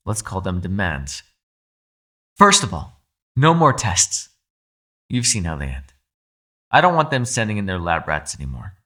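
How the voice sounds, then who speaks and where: Man acting a proud, bright-voiced captive yokai gentleman. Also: lowish voice, full character voice. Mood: indignant, demanding. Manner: articulate, courteous but demanding, speaks directly to listener. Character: captive male yokai, gentlemanly, proud, protective telepathic prisoner. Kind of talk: ASMR audio roleplay, dramatic character acting.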